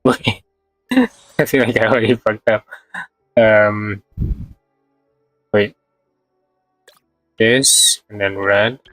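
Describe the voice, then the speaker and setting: A man's voice: on the low side, fairly even intonation, extremely slow, long pauses, a bit bright, no echo, faint music behind, low-quality recording. A man chatting casually on a game livestream, thinking aloud in short, hesitant fragments. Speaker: game streamer. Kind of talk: casual livestream gameplay chat.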